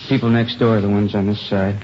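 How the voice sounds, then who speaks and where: A man acting as a plain-spoken radio cop with a fairly low voice, giving a report casually and to the point. The vintage recording is muffled, with clear noise behind him. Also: little pitch movement, fairly quick, close to an everyday voice. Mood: businesslike. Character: plain-spoken male police officer briefing detectives. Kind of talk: 1950s radio drama acting.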